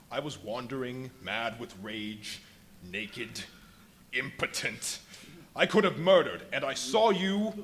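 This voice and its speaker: A desperate invisible man, domineering and theatrical. His delivery swings dramatically in pitch as he commands and threatens, in a live radio play with light background noise. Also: a bit bright. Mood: brooding, intense. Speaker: stage radio play actor. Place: live performance at a brewery venue. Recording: noisy live stage recording with ambience.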